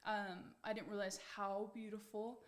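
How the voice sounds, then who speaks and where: A woman's voice: mid-range voice, level tone, normal speed, a little hiss or hum. A young American woman giving conversational interview answers in an earnest, reflective way. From United States. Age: young adult. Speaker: pageant titleholder being interviewed.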